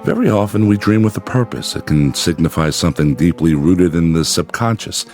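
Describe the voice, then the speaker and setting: Actor as deep-voiced rational psychiatrist. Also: male voice, a little mellow, soft background music, natural voice. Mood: calm, analytical. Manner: measured, explanatory, formal. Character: calm, rational male doctor, analytical psychiatrist. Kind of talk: vintage radio drama acting.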